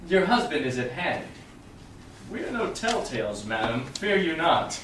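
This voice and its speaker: A romantic young Shakespearean lover, acted by a man, poetic and fairly high for a male voice. The delivery swings widely in pitch, far off in a very echoey theatre. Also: big pitch swings, a little slow. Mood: courteous. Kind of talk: staged Shakespeare reading. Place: small theatre stage, far audience camera. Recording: very echoey stage, distant camera, faint noise.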